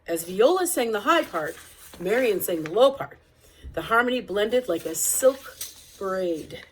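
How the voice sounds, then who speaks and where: A woman reading a picture book aloud as narrator, with deliberate, expressive phrasing. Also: medium pitch, noisy background. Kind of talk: read-aloud storybook narration.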